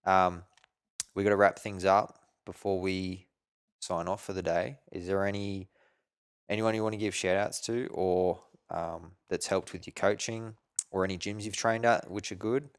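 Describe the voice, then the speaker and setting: Very deep-voiced laid-back podcast host. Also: male voice, fairly even intonation, moderate pace, smooth, dry recording, clean studio sound. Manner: easygoing, friendly prompts, filler-heavy. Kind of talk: casual interview chat.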